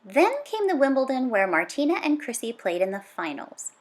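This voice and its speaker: Female read-aloud narrator for children's nonfiction, slightly high pitch, very lively intonation, dramatic emphasis and punchy delivery. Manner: dramatic, emphatic delivery with punchy exclamations and drawn-out key words. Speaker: storybook read-aloud reader.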